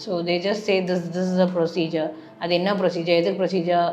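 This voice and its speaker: A woman's voice: lowish voice, level tone, racing, darkish tone, reverberant, soft background music. A young woman from Chennai with a South Indian accent, speaking candidly and reflectively as she tells a personal story in a casual vlog. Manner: switches between English and Tamil, candid and reflective. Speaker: vlogger.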